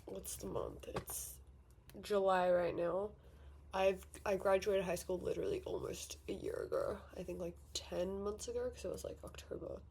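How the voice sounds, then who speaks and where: A woman's voice: medium pitch, fairly even intonation, moderate pace, gravelly, darkish tone, a little hiss or hum. An eighteen-year-old woman from Victoria with an Australian accent, chatting casually and rambling a little while she does her makeup for a vlog. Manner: chatty, rambling, self-deprecating. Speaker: vlogger. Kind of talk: casual get-ready-with-me chat. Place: bedroom vanity at home.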